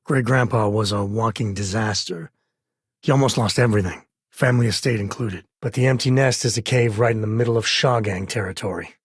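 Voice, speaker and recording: An actor playing a wry, easygoing space cowboy explorer in a video game, a man with a husky, raspy voice and folksy, laid-back phrasing. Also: fairly low pitch, fairly quick, dry recording, clean studio sound. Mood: reflective. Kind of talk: video game character voice lines.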